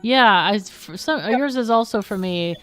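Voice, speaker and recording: A woman's voice: fairly high pitch, a little slow, a bit bright, clear, resonant tone, soft background music, poor audio. A woman hosting a game livestream, chatting casually and frankly as she plays and talks with guests. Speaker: livestream host playing a game. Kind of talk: casual live game commentary and chat.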